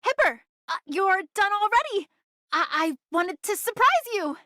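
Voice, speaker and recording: High, bright, perky anime girl. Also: moderate pace, no room sound, studio quality, heavily acted. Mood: flustered, cheeky. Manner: perky, expressive. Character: bright, bold, mischievous young anime girl sidekick. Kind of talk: anime video game voice acting.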